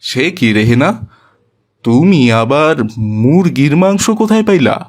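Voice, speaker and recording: A man's voice: fairly high pitch, animated, unhurried, a little mellow. A man playing a poor, hospitable village labourer and father with a rural Bangladeshi accent in a moral cartoon, talking in an earnest, folksy way. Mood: content. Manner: earnest, folksy colloquial talk. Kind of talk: Islamic moral cartoon dialogue.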